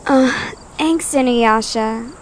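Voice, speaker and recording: A sweet teenage girl played by a woman in a fan audio drama, high-pitched and wistful. She sounds sleepy and fond, in a home recording with noticeable background noise. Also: takes it slowly. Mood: wistful, tired.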